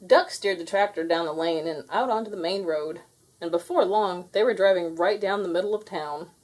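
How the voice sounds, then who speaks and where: A woman reading a children's story aloud with expressive, theatrical delivery. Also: on the low side, normal speed, rough recording. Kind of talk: animated scripted read-aloud narration.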